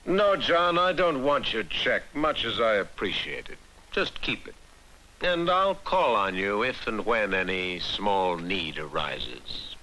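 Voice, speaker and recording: An actor playing a stately, self-assured American president in an educational film, speaking in formal oratorical phrases. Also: male voice, on the low side, expressive, takes it slowly, exaggerated voice, poor audio. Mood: genial, shrewd. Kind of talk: formal oratorical quote reading. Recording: old film soundtrack with loud music.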